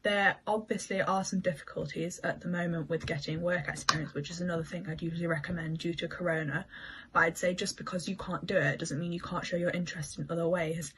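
Lowish-voiced young woman, noisy room recording. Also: fairly even intonation, fast talker, fairly bright tone. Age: young adult. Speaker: law student giving advice. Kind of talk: advice to camera. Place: home room, indoors.

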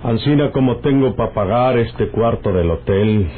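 An actor with a northern Mexican accent playing a folksy, domineering rural outlaw and smooth-talking lover in a radio drama. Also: male voice, mid-range voice, unhurried. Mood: reassuring. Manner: folksy rustic speech. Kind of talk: old-time radio drama acting. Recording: tinny, noisy old radio recording.

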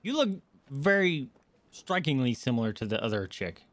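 A man's voice: medium pitch, sing-song, moderate pace, a bit bright, dry recording. A man casually reacting to a video game as he streams it, irreverent, joking and slangy.

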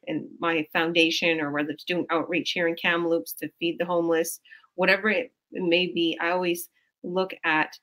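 A woman's voice: on the low side, a bit bright, poor audio. A woman, a coach hosting a team video call in a small room at home, talking in a rambling, effusive way with many restarts. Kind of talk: casual motivational chat. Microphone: video call webcam.

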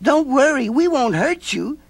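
A man's voice: very high-pitched, big pitch swings, measured pace, vocal fry, no room sound, pitched above the performer's own voice, heavily acted. A man voicing a kind young girl in a storybook reading, earnest and reassuring.

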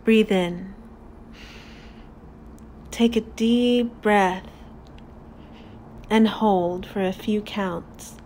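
A woman narrating a guided meditation in a calm, deliberate, soothing manner with long pauses. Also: mid-range voice, a little hiss or hum.

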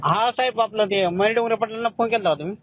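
A man with a high voice and a Maharashtrian Marathi accent speaks over a thin telephone line. His manner is insistent and questioning, as he presses the other party in conversation. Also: quick pace, a little hiss or hum. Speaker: call recipient. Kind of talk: tense phone conversation. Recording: narrowband phone call recording.

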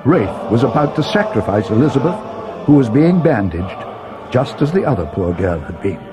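An actor playing an earnest, loyal young friend of a detective, narrating a dramatic audio storybook. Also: male voice, medium pitch, sing-song, moderate pace, natural voice. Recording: 1980s read-along cassette.

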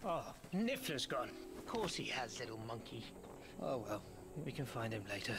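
An actor playing a mild, awkward British wizard who looks after magical creatures, speaking hesitantly and politely in film-style game dialogue. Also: male voice, mid-range voice, big pitch swings. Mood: preoccupied, hesitant. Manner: hesitant, halting, politely matter-of-fact. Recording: loud soundtrack music behind.

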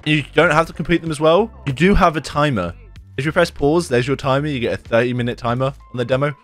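A man in his twenties, chatting casually over gameplay in his bedroom, his voice a little deeper and rough after a sore throat. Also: quick pace, a bit bright, faint music behind. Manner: chatty, rambling, says "like" and "dude" a lot. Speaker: gaming YouTuber. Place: bedroom at home, indoors.

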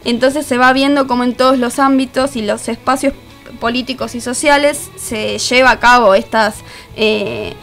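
A woman with an Argentine accent, speaking as a columnist in a radio discussion. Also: fairly high pitch. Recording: music playing behind the voice. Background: music.

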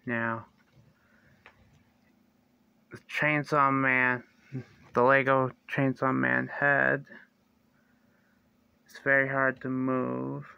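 A young man talks through a toy review in a small bedroom, right up close to his phone or webcam. His voice is smooth yet gravelly and held to a flat, even pitch. He stops and restarts often, filling the gaps with ums and uhs. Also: dry recording, dull audio. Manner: slow, halting, with many fillers and restarts. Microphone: phone or webcam close to the face.

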